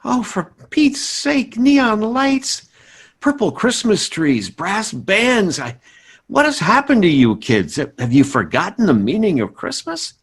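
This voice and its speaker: Blustery american radio sitcom dad, stubborn, kinda husky, pitched high and swinging around. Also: male voice, wildly swooping pitch, takes it slowly, heavily acted. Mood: exasperated. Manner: blustering, stammering, emphatic. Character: idealistic, stubborn, exasperated sitcom father, middle-aged. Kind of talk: 1950s radio sitcom acting, performed by players.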